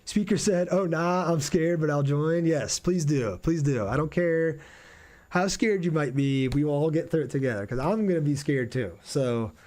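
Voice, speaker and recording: A man's voice: a little high, normal speed, darkish tone. A man chatting casually and informally on a game livestream, reading out viewer comments and joking at his own expense.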